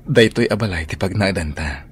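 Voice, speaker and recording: A man's voice: deep voice, animated, brisk, a little hiss or hum. A man acting a young man who defies his mother for the woman he loves, earnest and pleading. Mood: tender. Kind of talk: radio drama acting.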